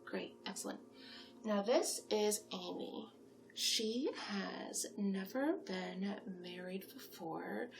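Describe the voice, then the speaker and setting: A woman in a soft-spoken ASMR roleplay as a friendly, flattering matchmaker, gently encouraging and often slipping into whispers. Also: mid-range voice, gravelly, slight background noise. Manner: gentle, encouraging, salesy, unhurried with frequent whispered phrases. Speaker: ASMR roleplay performer playing a monster matchmaker.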